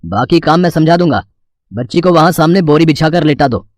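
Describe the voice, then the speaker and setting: An actor playing a fair, kindly sweet-shop owner in a cartoon story, businesslike and measured. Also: male voice, fairly high pitch, animated, no echo, studio quality, lightly acted.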